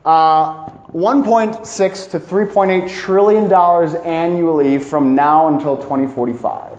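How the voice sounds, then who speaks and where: A man with a slightly high voice gives an informal lecture to a room. He speaks in emphatic bursts, repeating key phrases and pausing to search for words. The sound is strongly echoey, as in a reverberant indoor space. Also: darkish tone, dull audio. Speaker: presenter giving a climate talk. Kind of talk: informal educational lecture to a live audience.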